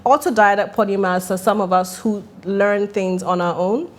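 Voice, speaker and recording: A woman from Ghana whose first language was Italian chats as a podcast guest. She is animated, laughs often and restarts her phrases as she goes. Her pitch sits in the middle range. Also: normal speed. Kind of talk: casual podcast conversation.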